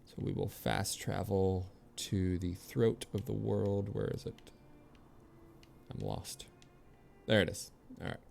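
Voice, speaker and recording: A man's voice: sits low, steady tone, takes it slowly, vocal fry, long pauses, darkish tone, a little hiss or hum. A man streaming a video game, chatting casually with viewers and commenting as he plays in an easygoing way. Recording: game music behind the voice. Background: video game music.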